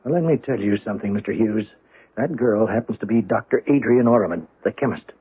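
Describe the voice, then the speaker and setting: A prickly old-radio surgeon, really rough husky voice, thin phone-like recording. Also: male voice, fairly low pitch, fairly quick. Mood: grave, indignant. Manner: hesitant, then curt and indignant. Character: brusque, defensive male surgeon, protective medical director. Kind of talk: old-time radio drama acting. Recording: muffled, narrow vintage radio broadcast.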